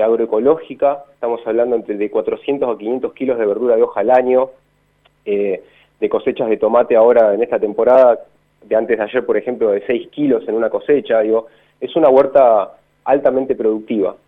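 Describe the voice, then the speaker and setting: A man with a Buenos Aires accent explaining things as an interview guest over a phone line. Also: mid-range voice. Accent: Argentine, Buenos Aires. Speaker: interviewed member of an urban gardening collective.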